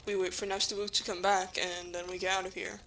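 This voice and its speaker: A woman's voice: mid-range voice, level tone, quick pace, fairly bright tone, lightly acted, rough recording. A woman playing an earnest, determined young human hero who protects friends, in an audio drama. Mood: calm, hopeful. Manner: earnest, firm.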